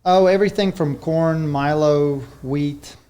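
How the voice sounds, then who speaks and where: A man's voice: fairly high pitch, unhurried, mellow. Easygoing South African man recalling his childhood. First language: Afrikaans. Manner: easygoing, rambling recollection. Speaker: guest. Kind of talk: casual interview storytelling.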